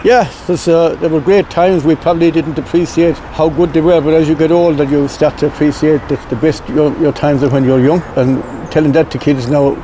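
Older irish man with a clare accent, mellow voice, rambly stories with little laughs. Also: fairly high pitch, steady tone, brisk, hardly any pauses, slight background noise, low-quality recording. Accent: Irish, County Clare. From Ireland. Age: 70s. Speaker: interviewee. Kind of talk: reminiscing, casual storytelling.

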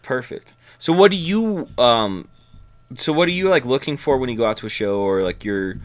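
A man's voice: mid-range voice, normal speed. A man in his twenties, a musician guest, talking casually with lots of swearing and laughter. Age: 20s. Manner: profane, animated, laughs often. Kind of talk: casual conversation.

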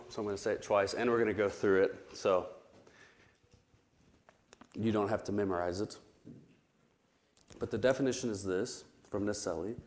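A man's voice: lowish voice, a little mellow. Man teaching Bible study, recovering voice. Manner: explanatory, with frequent restarts and pauses. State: recovering voice after illness.

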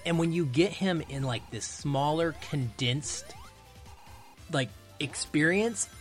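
A man's voice: a little high, a bit bright. A man around his thirties or forties talking casually as a podcast guest, explaining his film opinions in an analytical, emphatic way.

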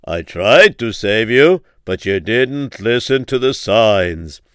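A man puts on a stern voice from heaven in a spoken story, reproachful and deliberate. Each line lands slowly and emphatically in a bright, clear tone. The sound is dry and close. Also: on the low side, very animated, no echo, pitched below the performer's own voice, exaggerated voice. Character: stern male divine voice from heaven.

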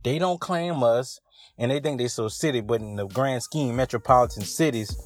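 A young man with a Louisiana drawl delivers an emphatic, profane rant to his podcast listeners. His voice sits at an ordinary middle pitch, and the recording is dry and close, with no room sound. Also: moderate pace, dry recording, soft background music. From Southwest Louisiana. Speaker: podcast co-host. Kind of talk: scripted rant segment.

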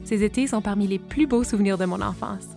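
A woman hosting a family podcast, narrating in clear explanatory tones and interviewing her cousins. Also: medium pitch, brisk, over music. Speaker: podcast host.

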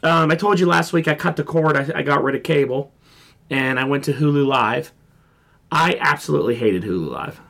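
A man hosting a casual pop culture podcast, hoarse and froggy from a sore throat, joking and rambling. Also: on the high side, normal speed, a bit bright. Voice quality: froggy, gravelly. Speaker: podcast host.

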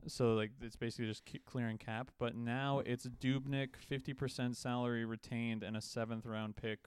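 A man in his twenties co-hosting a podcast, joking in a sarcastic, deadpan way. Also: medium pitch, normal speed, smooth. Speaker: podcast co-host. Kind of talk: casual chat.

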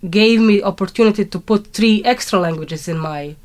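A woman's voice: medium pitch, normal speed. A woman from Croatia with a Croatian accent, talking conversationally as an interview guest in English, her second language.

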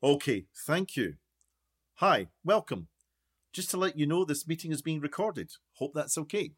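A man acts out a self-important documentary maker in a radio play. His voice sits fairly high, and he runs things briskly and officiously, with little patience. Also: expressive, fairly bright tone, husky. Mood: businesslike. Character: self-important, impatient male documentary maker hosting an online meeting. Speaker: documentary interviewer.

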